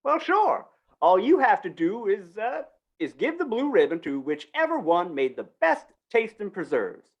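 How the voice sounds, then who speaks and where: A woman's voice: medium pitch, very animated, normal speed, highs cut off. A woman playing a slippery, wheedling male small-town fair organizer in an old-time western radio drama, coaxing and folksy. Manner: coaxing, folksy, falsely reassuring.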